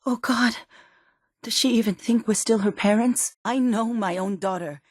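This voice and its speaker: An actress playing a worried, protective middle-aged mother in a post-apocalyptic video game, speaking earnestly and emotionally, with halting phrases. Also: a little high, no room sound, clean studio sound, natural voice. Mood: distraught, insistent. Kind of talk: dramatic video game voice acting.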